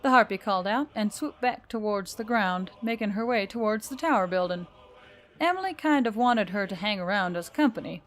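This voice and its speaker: Woman doing dramatic audiobook style narration, mid pitched voice, scripted fiction. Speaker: story narrator.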